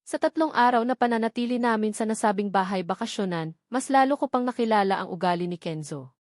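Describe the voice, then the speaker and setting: A woman's voice: mid-range voice, a little fast, dry recording. A woman reads a romance novel in the first person as an audiobook narrator. Her delivery is scripted storytelling, recorded dry with hardly any room sound.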